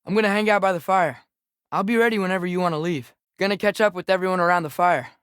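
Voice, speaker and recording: A teenage boy adventurer, American accent, higher-pitched, very dry close sound, casual, restless, understated, video game companion. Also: quick pace, fairly bright tone, smooth, dry recording. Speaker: game character voice actor.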